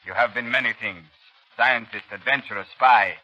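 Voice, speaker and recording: Muffled old radio show, very hard bright commanding army general, clipped and imperious. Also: male voice, mid-range voice, normal speed. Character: commanding, ruthless military general. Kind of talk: 1930s radio acting. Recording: dull vintage 1930s radio recording.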